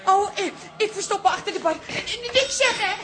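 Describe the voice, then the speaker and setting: A high-voiced wife, homely and naive, in a radio comedy. She swoops up and down with little exclamations, easily impressed and quick to fret. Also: animated, fairly bright tone, soft background music. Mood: flustered. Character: Toos, chatty, fretful, easily impressed working-class wife. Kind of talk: Dutch radio comedy drama acting.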